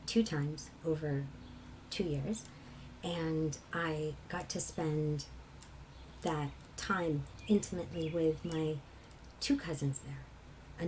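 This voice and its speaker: Husky low-voiced woman from england telling stories, reflective, faint hiss behind her. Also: dark tone. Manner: reflective, laughs often while telling stories. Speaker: kirtan singer. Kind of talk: conversational personal storytelling.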